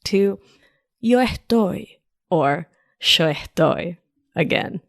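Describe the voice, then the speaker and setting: A woman's voice: lowish voice, drawn-out words, vocal fry, dry recording, studio quality. A woman with an Argentine accent hosting a solo podcast as a life coach, talking in a playful, affectionate way with side remarks. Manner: lively, playful, affectionate, wanders into asides. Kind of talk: scripted solo podcast talk. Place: New York.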